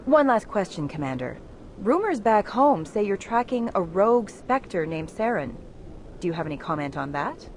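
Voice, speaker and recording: A woman's voice: medium pitch, very animated, moderate pace, slight background noise. A woman playing a polished, needling TV news reporter in a video game. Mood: probing.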